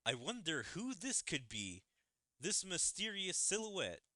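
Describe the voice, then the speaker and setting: A man giving commentary on a game story in an animated way with drawn-out phrasing. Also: high voice, no echo, clean studio sound. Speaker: gaming content creator. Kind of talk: game story commentary and dialogue read-aloud.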